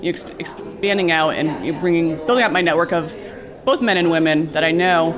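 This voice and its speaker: A cybersecurity professional giving earnest advice in a conference interview, with many fillers and restarts. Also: male voice, on the high side, a little fast, a crowd in the background.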